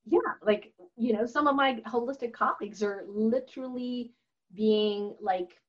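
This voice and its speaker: A woman's voice: on the high side, measured pace. A woman whose first language is Chinese, speaking as an interview guest in an earnest, chatty way with frequent laughs and fillers. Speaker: guest, holistic doctor and author. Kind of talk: conversational interview answer. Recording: slightly dull, lo-fi audio.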